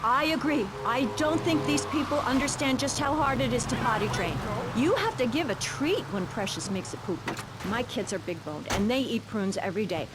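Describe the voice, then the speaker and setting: A woman's voice: on the high side, expressive, normal speed, close to an everyday voice. An actress playing a delusional, self-righteous mother on satirical talk radio, speaking with oblivious earnestness. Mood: oblivious, earnest. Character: cheerful, delusional mother with savage parenting ideas. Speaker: radio show guest. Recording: loud vehicle noise behind.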